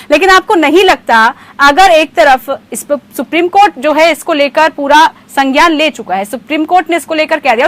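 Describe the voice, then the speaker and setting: A woman's voice: high-pitched, fairly bright tone. A woman. She anchors a live news debate, cutting in to question and steer her panelists. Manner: interjecting, questioning.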